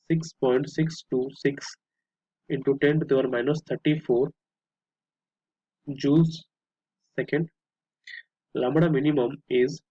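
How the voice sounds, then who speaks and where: A male tutor with an Indian accent and a husky, rough-edged voice explains a worked problem step by step. His delivery is halting, with repeated words and phrases. The recording is muffled and lo-fi, with noticeable room echo. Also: medium pitch, warm tone. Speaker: physics tutor. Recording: muffled lo-fi, echoey room sound.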